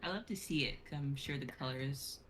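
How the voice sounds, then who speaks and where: Low-voiced young woman, noisy mic. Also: a little fast, a bit bright. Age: young adult. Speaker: member of a script-reading group. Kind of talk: casual chat. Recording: clearly noisy mic with clicking.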